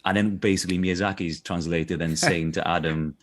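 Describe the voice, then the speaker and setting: A man with a fairly low voice and a South Welsh accent talks casually in an interview. He rambles through his thoughts, restarts and corrects himself, and often checks that the listener follows. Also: fairly even intonation, fairly quick. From South Wales. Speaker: voice actor being interviewed.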